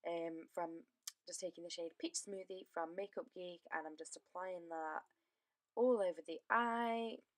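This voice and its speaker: A woman with a slightly hoarse voice from a sore throat, chatting casually through a makeup tutorial voice-over with lots of fillers. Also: fairly low pitch, fairly even intonation, a little slow, no room sound. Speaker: beauty vlogger.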